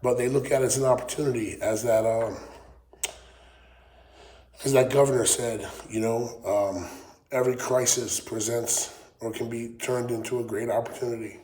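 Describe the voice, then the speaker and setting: A man's voice: medium pitch, steady tone, moderate pace, darkish tone. Channel host, New man with a weak, recovering voice, rambling rant, roomy workshop echo. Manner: rambling, frequent ums and swearing. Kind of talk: casual political rant to camera. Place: wood shop. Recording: echoey workshop with faint noise.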